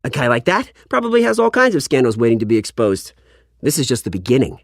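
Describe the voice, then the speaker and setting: A man's voice: medium pitch, wildly swooping pitch, quick pace, no room sound, clean studio sound. A man voicing a snide, judgmental news pundit in a video game, scornful and emphatic. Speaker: voice actor.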